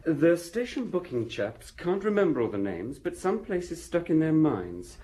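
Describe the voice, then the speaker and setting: A man's voice: fairly high pitch, big pitch swings, measured pace, mellow. An actor playing a dutiful, respectful junior policeman in a radio drama, reporting facts in an orderly way. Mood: matter-of-fact.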